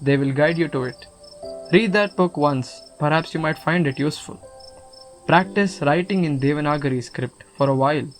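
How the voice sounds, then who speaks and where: A man playing a kindly guru in an audio drama, speaking in a gentle, measured, instructive way. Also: fairly high pitch, natural voice. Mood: reassuring, instructive. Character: calm, kindly male guru counselling a troubled student. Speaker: student actor. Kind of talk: scripted audio drama acting. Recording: music under the voice. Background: music.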